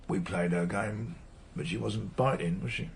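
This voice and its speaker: An older man, an actor playing a weary, brooding veteran police detective with a dry, measured, probing manner in a radio drama. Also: lowish voice, gravelly, a little hiss or hum. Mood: dry, defensive. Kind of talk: naturalistic radio drama acting.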